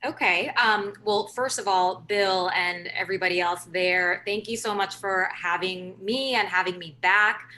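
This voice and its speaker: A woman's voice: medium pitch, fairly even intonation, unhurried, few pauses, a little hiss or hum, low-quality recording. A woman in her thirties, born in Korea and raised in Hong Kong, speaking conversationally as a political correspondent on a panel. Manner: warm, conversational, with fillers. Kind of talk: panel discussion answers.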